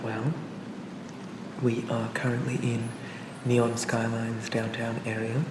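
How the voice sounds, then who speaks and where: A calm and pleasant male AI companion acted by a man for an ASMR roleplay. He speaks gently and reassuringly in a narrow, even pitch. The recording sounds distant and echoey, with clear ambient noise behind him. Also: takes it slowly, natural voice. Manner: gentle, hesitant, reassuring, conversational. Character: charming, pleasant male AI personal assistant for a police officer.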